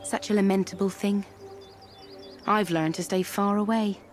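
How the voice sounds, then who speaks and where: An actress playing a wry, worldly herbalist and fortune-teller in a game cutscene, musing with a theatrical touch. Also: female voice, medium pitch, steady tone, normal speed, lightly acted. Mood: musing, sardonic. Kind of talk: video game cutscene acting. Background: music.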